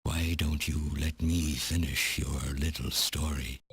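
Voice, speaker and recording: Extremely deep, gravelly villain. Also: male voice, steady tone, slow, loud music behind the voice, exaggerated voice. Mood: mocking, menacing. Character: sinister, mocking male villain, coolly unhurried. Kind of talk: dramatic video game voice acting.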